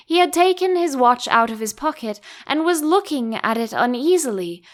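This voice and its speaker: A woman narrating a storybook audiobook in an expressive style. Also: a little high.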